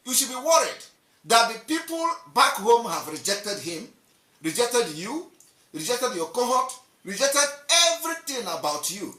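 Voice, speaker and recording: Nigerian man, animated pitch, echoey room, heard from off-mic. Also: far from the mic. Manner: emphatic, repetitive, mocking. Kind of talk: impassioned political monologue. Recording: roomy echo, off-mic.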